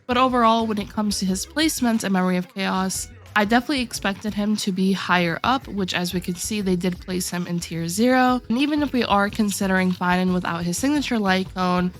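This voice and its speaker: A woman's voice: medium pitch, brisk, hardly any pauses. Woman with a sore throat explaining game rankings. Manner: steady explanatory walkthrough, addressing viewers directly. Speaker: gaming video host. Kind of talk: game tier list commentary.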